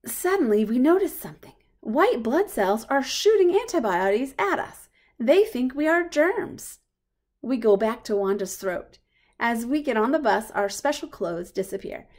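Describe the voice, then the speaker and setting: A woman reading a picture book aloud for young children in a simple, expressive way. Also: fairly high pitch, unhurried. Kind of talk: children's storybook read-aloud.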